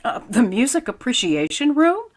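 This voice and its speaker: A woman's voice: a little high, big pitch swings, normal speed. A woman playing a pleasant, wisecracking schoolteacher with a dry, wry manner in old-time radio comedy style.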